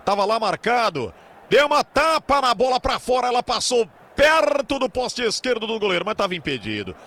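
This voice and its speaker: High, bright-voiced football commentator. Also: male voice. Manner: excitable, drawn-out key words. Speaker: radio football play-by-play commentator. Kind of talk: live match commentary with sponsor reads.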